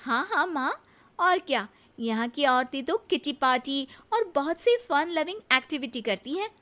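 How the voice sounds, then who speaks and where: Lady doing caring young bahu for a cartoon story, really high animated voice, polite and coaxing. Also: moderate pace. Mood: reassuring. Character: sweet, caring, eager young daughter-in-law. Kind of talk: acted dialogue in cartoon moral story.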